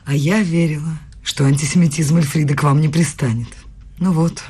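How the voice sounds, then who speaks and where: Young woman student, low husky voice, proud, wounded, challenging, radio drama. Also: fairly even intonation, moderate pace, warm tone. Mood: indignant. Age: young adult. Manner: pointed, challenging. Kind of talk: radio play acting.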